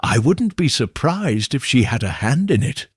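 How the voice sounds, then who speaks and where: A man voicing a theatrical, gossipy middle-aged woman who speaks low and accusingly. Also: big pitch swings, normal speed, husky, no echo, studio quality. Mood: suspicious.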